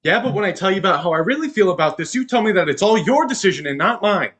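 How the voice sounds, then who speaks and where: A young husband played by a male student actor in a stage drama, controlling and insecure. His pitch swings widely as he belittles his wife. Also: lively intonation, fast, fairly bright tone. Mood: angry, incredulous. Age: young adult. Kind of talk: stage play dialogue.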